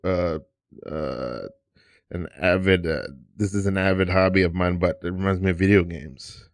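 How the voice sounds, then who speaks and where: A man in his late thirties hosts a podcast from a studio. His voice sits low and sounds close and dry. He talks loosely, restarting sentences and checking in with his listeners. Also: steady tone, moderate pace, dry recording. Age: 30s. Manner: rambling, restarts, plenty of "you know what I mean". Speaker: podcast host. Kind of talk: casual chat.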